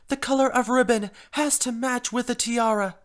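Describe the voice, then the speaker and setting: A woman's voice: fairly high pitch, unhurried, lightly acted. A woman voicing a refined, fretful female pony fashion designer with a theatrical, genteel manner in a first-person story. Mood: panicked, dramatic. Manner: theatrical, genteel, uses "darling". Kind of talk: first-person fan story narration in character.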